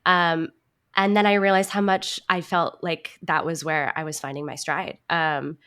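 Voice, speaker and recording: A woman's voice: deep voice, little pitch movement, a little slow, fairly bright tone, clean tone. A woman answering interview questions conversationally, in a reflective and earnest manner. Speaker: podcast guest, actor and writer.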